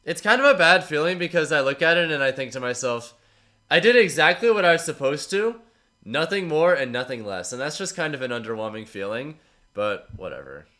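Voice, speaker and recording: Stuffy-nosed man with a bright voice. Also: on the high side, brisk. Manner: relaxed, rambling, self-deprecating, reading out viewer messages. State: stuffy, slightly congested from a cold. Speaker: streamer analyzing tournament matches. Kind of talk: casual live-stream chat with viewers.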